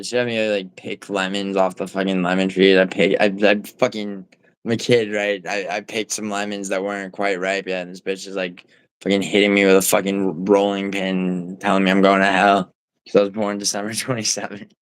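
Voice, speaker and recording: A man's voice: low voice, flat delivery, moderate pace, smooth, dry recording. A man from New City, chatting on a livestream in a rambling, profane way with lots of fillers and restarts. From New York City. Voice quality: nasal. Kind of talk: casual livestream chat, reading viewer comments.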